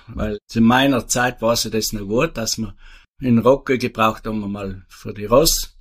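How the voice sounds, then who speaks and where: Old south tyrolean farmer guy in his 70s, rambling, lots of restarts. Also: fairly low pitch. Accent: South Tyrolean German. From South Tyrol, Italy. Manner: rambling, halting, with many restarts and fillers. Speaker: retired farmer, guest. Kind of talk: casual interview answers.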